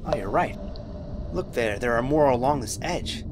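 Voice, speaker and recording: A man's voice: medium pitch, lively intonation, moderate pace, noisy background, natural voice. A man playing a nervous, cautious crew member in a sci-fi audio drama, hesitant and anxious in manner. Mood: curious.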